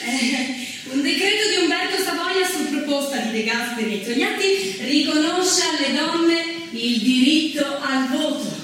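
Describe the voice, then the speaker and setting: High, echoing solemn stage chronicler. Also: female voice, measured pace, a bit bright. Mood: declaiming. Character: female chronicler of women's history, solemn, theatrical. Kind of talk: scripted theatrical narration. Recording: very echoey stage, distant mic, music behind.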